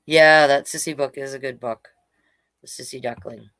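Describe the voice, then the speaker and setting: A woman's voice: rumbling low, fairly even intonation, normal speed, fairly bright tone. A woman storyteller reading a children's book aloud, with informal, playful asides. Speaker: drag king storyteller reading children's books.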